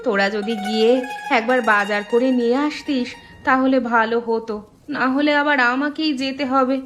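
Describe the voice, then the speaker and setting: A woman's voice: on the high side, steady tone, normal speed, over music, natural voice. A woman voicing a middle-aged village mother in a cartoon, chiding and caring in a homely way. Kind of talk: cartoon dialogue acting.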